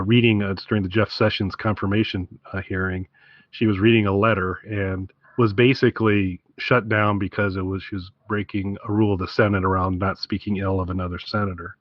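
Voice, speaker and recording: A man's voice: on the low side, no room sound, muffled recording. A man co-hosting a podcast, chatting casually with an amused, wry, conversational manner. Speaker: podcast co-host.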